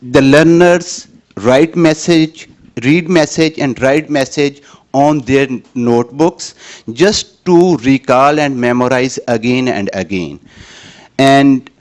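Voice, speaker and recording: A man from Pakistan, giving a conference presentation in a hesitant, halting way with many restarts. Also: mid-range voice.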